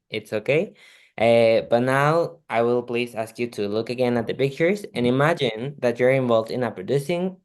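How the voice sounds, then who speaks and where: A man conducting an oral speaking exam, polite and measured, with occasional restarts. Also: mid-range voice, a little mellow. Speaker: examiner.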